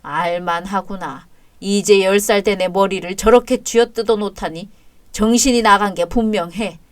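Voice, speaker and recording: A kindly older father-in-law speaks in a low, soothing voice, steady and reassuring, as a woman puts him on. Dry, close recording. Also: normal speed, dry recording, close to an everyday voice. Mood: gentle.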